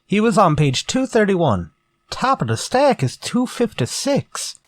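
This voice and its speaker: A man playing an excitable writer in an old-time radio horror mystery. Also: high voice, measured pace, dry recording, full character voice. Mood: excited.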